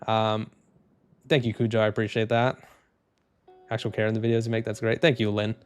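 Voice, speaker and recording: Man, low voice, relaxed rambling livestream chat, home desk mic, faint background music. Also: clear, resonant tone. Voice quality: low, even, unhurried voice. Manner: laid-back, rambling, many fillers and restarts. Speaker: streamer. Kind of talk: casual livestream chat reading comments.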